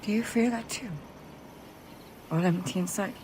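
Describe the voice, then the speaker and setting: An actress playing a rough-living young pirate woman, mournful and confessional, in a video game cutscene. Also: medium pitch, normal speed, background noise, close to an everyday voice. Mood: grieving.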